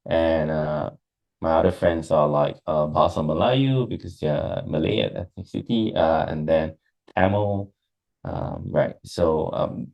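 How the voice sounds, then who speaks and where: A man's voice: a bass voice, measured pace, vocal fry, lo-fi sound. A Singaporean man with a Singaporean English accent, answering interview questions casually, hesitant with many fillers. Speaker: interviewee, businessperson.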